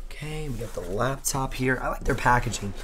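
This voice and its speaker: Hoarse young male tech reviewer, mid-range voice, chatty and upbeat while unboxing. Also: mid-range voice, animated, a little fast, a bit bright, slight background noise. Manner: chatty, upbeat, thinks aloud while handling gear. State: hoarse, losing his voice. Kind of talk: casual unboxing talk.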